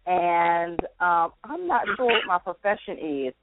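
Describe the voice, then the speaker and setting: A woman from Philadelphia with a lowish voice introduces herself in a casual, unsure manner. The phone-like line is clearly noisy. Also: expressive, measured pace. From Philadelphia, United States. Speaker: panelist, makeup artist. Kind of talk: casual self-introduction on talk show. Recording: noisy narrow phone-like sound with clicks.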